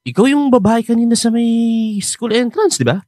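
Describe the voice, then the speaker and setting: A Filipino man acting a brash, cheeky high school student of about twenty in a radio drama. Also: sing-song, a little fast, darkish tone, dry recording, clean studio sound. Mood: relaxed, friendly. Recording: music under the voice.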